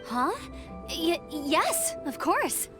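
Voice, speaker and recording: Eager girl scout, high, lively, helpful, game cutscene, music behind. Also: extremely slow, heavily acted. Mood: flustered. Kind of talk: video game cutscene acting.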